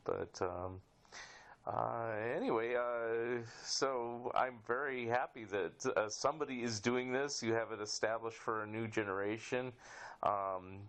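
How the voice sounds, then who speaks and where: A man with a medium-pitched voice hosts a podcast in an informal, conversational way. He is given to small chuckles between his phrases. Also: unhurried, a bit bright. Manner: chuckles often. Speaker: podcast host. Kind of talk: casual interview with sign-off.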